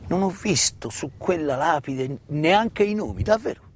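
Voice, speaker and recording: A middle-aged man delivers a narrative stage monologue with deliberate, emphatic phrasing and dramatic pauses. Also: on the high side, lively intonation, a little hiss or hum. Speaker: stage actor and storyteller. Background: live instrumental music.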